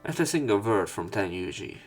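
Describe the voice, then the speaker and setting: A man's voice: on the low side, sing-song, brisk, husky, soft background music. A man reading visual novel narration aloud as a let's play narrator.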